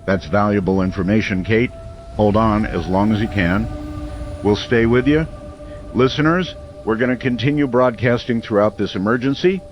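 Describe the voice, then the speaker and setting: An actor playing a community radio host during an emergency in an audio drama, measured, earnest and reassuring. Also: male voice, fairly low pitch, natural voice. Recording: dull lo-fi radio sound, faint noise.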